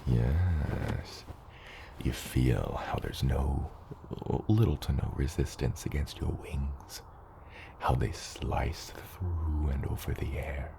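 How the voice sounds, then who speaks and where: An extremely low, gravelly dragon father, acted by a man, mentors a hatchling in an ASMR roleplay. He takes his time, patient and gently teasing, with some whispery edges. Clear ambient noise sits behind the voice. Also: lively intonation, full character voice. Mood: patient, instructive. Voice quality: very deep, gravelly, partly whispery. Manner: slow, measured mentoring with hesitant asides.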